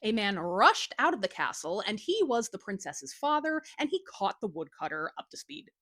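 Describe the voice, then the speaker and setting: A woman tells a folktale with very lively, swooping pitch and an informal, cheeky delivery. Her voice is recorded close and dry, with little room around it. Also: normal speed, no room sound. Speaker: storyteller, host. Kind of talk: casual scripted folktale retelling.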